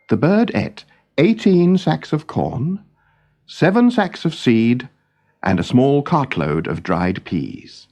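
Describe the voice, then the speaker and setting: A man reading a scripted children's story in a measured, expressive storytelling manner. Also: mid-range voice.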